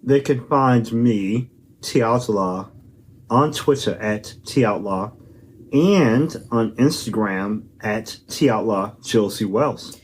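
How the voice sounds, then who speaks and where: A man's voice: on the low side, slow, slight background noise. A man co-hosting a food podcast, joking and bantering playfully in casual chat. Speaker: podcast co-host.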